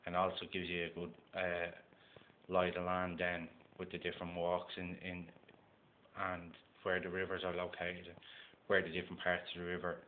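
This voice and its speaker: A man with a Dublin Irish accent, talking casually to camera in a halting way, with lots of fillers and restarts. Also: sits low, monotone, a little hiss or hum. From Dublin, Ireland. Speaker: gold prospecting vlogger. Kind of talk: casual instructional talk to camera. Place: indoors at a table. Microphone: phone camera. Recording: tinny lo-fi phone recording.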